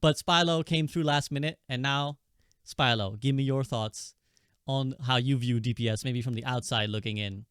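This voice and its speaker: A man's voice: medium pitch, normal speed, a bit bright, clean tone, no echo, studio quality. A thirty-year-old man hosting a podcast, moderating a casual chat among guests. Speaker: podcast host.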